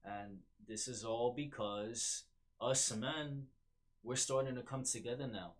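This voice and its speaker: A man's voice: medium pitch, measured pace. A man with a New York accent hosting a solo podcast, rambling with many fillers and restarts. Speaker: podcast host.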